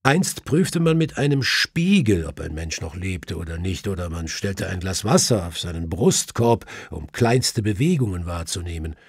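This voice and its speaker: A man voicing an earnest, cautious Victorian doctor in an audiobook, explaining things in a measured, formal way. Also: low voice, animated, slightly rough, no echo, studio quality, full character voice. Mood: assured, explanatory. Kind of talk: audiobook character voice.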